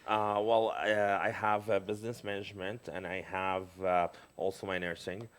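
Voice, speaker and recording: A man's voice: low-pitched, little pitch movement, normal speed. A middle-aged man from Lebanon, talking in a casual conversation as a guest, hesitant, with many fillers and restarts.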